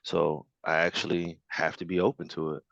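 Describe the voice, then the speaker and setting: A man in casual group discussion over an online call, talking in animated bursts with many fillers and laughs. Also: low voice, normal speed, creaky, no room sound, dull audio.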